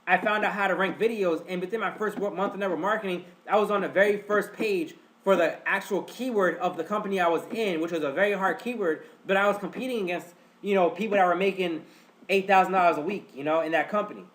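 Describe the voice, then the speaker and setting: A man's voice: high voice, fast talker, a bit bright. A man with a stuffed-up nose hosting a live webinar, chatty and repetitive, often calling his listeners guys. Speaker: webinar host. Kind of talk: casual live webinar teaching.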